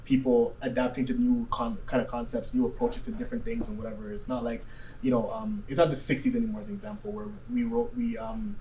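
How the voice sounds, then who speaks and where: A man in his twenties talks through his opinion on a panel, off the cuff and full of small check-ins. His pitch moves a lot and he talks fast. He is picked up from a distance with faint noise behind him. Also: very animated, dark tone. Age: 20s. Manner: hedging, often checking with "right?". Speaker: talk show panel guest. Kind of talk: unscripted opinion discussion.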